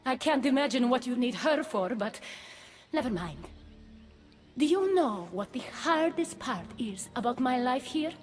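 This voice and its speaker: A high-voiced, imperious goddess queen. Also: big pitch swings, unhurried. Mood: brooding. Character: imperious, suspicious goddess queen of a mythic paradise. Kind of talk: video game voice acting. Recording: faint music behind the voice.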